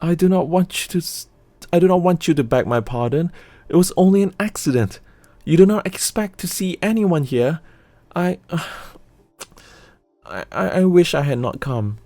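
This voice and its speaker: Storybook role: a trembling young lady, high and rushed, put on by a male reader, recorded dry. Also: expressive, mellow, dry recording, soft background music, natural voice. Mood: pleading. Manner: pleading, breathless and hurried. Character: frightened young lady, pleading and gentle.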